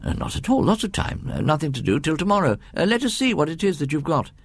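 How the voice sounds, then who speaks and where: Eager English antiquarian gentleman played by a male reader, courteous, breezy, lively pitch, slightly husky, storybook style. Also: fast talker, no room sound. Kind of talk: audiobook ghost story character voice.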